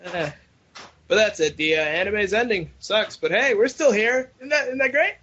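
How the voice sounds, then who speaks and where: A man's voice: sits high, measured pace, fairly bright tone, a little hiss or hum. A young adult man chatting casually as co-host of a fan podcast over a voice call. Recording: dull lo-fi internet call.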